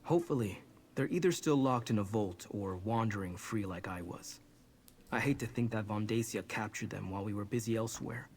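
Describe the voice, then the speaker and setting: A man's voice: fairly low pitch, brisk, a little mellow, slight background noise, natural voice. An actor playing an earnest, apologetic young man burdened with guilt in a video game. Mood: grim, thoughtful.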